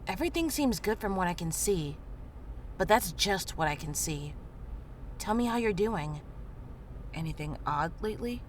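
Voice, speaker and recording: A woman's voice: on the low side, lively intonation, normal speed, long pauses, a little hiss or hum, close to an everyday voice. A woman playing a casual, matter-of-fact, caring medic in a visual novel game. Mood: attentive. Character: frank, caring female medic in a grim world. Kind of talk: visual novel character dialogue.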